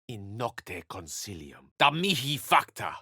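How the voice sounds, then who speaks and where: A man's voice: lowish voice, big pitch swings, measured pace, gravelly, pushed and bright, slightly rough, no echo, studio quality, exaggerated voice. A man voicing an eloquent, bookish wizard in a fantasy video game, wry and courteous, with articulate, dramatic phrasing. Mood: intense incantation. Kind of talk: theatrical fantasy game dialogue and storybook-like narration.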